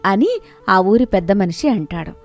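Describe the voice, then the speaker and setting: A woman's voice: mid-range voice, lively intonation, normal speed. Woman narrating a moral story over music. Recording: music behind the voice.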